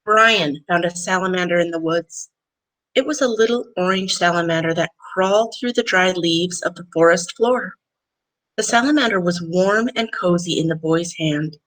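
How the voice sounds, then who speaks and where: A woman, a recess teacher, reading a picture book aloud to children in a calm, gentle way. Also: fairly low pitch, normal speed, rough recording. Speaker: recess teacher, guest reader.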